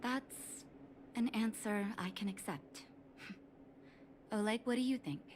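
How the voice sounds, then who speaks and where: An actress playing a calm, shrewd underworld doctor in a video game, poised and deliberate with a probing manner. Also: female voice, mid-range voice, a little hiss or hum, natural voice. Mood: measured agreement. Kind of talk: scripted video game voice acting.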